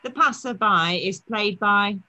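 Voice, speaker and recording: Woman, middle-aged, bright clear-cut tone, medium pitch, formal church introduction, home webcam. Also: a little slow, highs cut off. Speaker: church minister introducing a cast. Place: home study.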